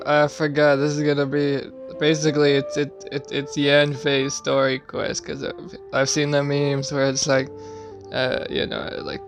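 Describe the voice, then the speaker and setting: A streamer giving casual, rambling, low-key commentary over a game playthrough in an informal way. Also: creaky. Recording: game music behind the voice.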